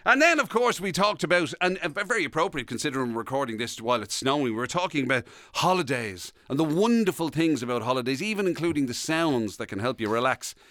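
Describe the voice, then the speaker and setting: A man's voice: fairly high pitch, animated, fast talker, few pauses, a bit bright, no room sound, studio quality. A middle-aged Irish man co-hosting a radio podcast, steering casual banter. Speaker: radio show co-host. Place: radio office studio.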